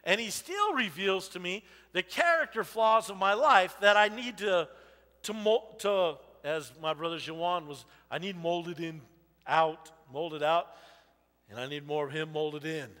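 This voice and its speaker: A man in his forties preaching an informal sermon in a church, talking loudly and animatedly while he walks among the audience. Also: sits high, unhurried. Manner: loud and animated, walking the stage and aisles. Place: church sanctuary with a stage. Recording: long reverb of a church hall.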